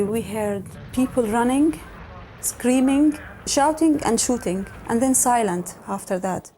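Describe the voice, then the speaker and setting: A woman in her forties from Lebanon, narrating a documentary in a measured, plain-spoken way. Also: a little high, darkish tone, slight background noise.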